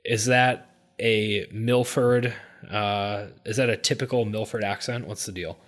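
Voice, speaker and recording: A man hosting a podcast, chatting in a relaxed way and often restarting his sentences. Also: fairly low pitch, unhurried. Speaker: podcast host. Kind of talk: casual interview conversation.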